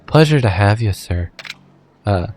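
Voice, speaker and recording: A man's voice: on the low side, expressive, measured pace, darkish tone. A man from the American Midwest giving casual, rambling gameplay commentary, self-deprecating and swearing offhandedly. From Midwestern United States. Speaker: let's play gamer.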